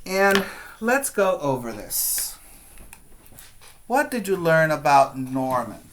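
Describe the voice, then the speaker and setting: A man's voice: a little high, very animated, slow pace, a bit bright, slight background noise. A man teaching preschoolers, speaking in an instructive, question-and-answer way as he reads aloud and guides young children.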